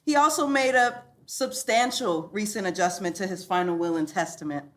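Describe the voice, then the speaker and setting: An actress playing an awkward, officious executor with a formal, hesitant manner. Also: female voice, medium pitch, moderate pace, fairly bright tone, natural voice. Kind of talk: live comedy table read.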